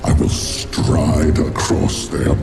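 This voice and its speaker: A gravelly alien warlord. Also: male voice, monotone, takes it slowly, exaggerated voice. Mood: menacing. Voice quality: deep gravelly, commanding. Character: ancient tyrannical alien warlord, male, merciless. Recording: loud music underneath.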